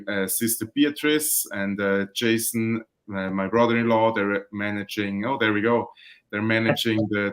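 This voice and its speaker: A man from Switzerland, a winemaker, chatting relaxed and rambling on a video call, often correcting himself mid-sentence. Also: on the low side. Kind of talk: casual video call interview. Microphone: webcam and computer mic on a video call.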